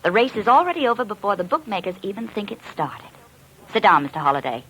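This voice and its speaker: An actress playing a cool, shrewd femme fatale racket boss in a vintage radio crime drama. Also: female voice, mid-range voice, very animated, quick pace, fairly bright tone. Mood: cool, mocking. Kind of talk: 1940s-50s radio crime drama acting. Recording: noisy vintage radio recording.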